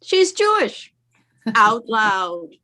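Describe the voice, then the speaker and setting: A woman's voice: fairly high pitch, big pitch swings, very slow, pushed and bright. An older woman from the American South reading her own poem aloud, wry and conversational, with playful asides to the audience. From Southern United States. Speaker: poet reading her work. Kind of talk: spoken word poetry reading.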